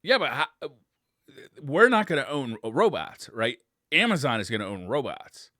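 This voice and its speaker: A man chats casually as a podcast co-host. His bright, forceful voice swings widely in pitch as he jokes and swears. The sound is very dry, with hardly any room to it. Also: moderate pace, no echo. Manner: animated, profane, joking. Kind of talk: casual chat.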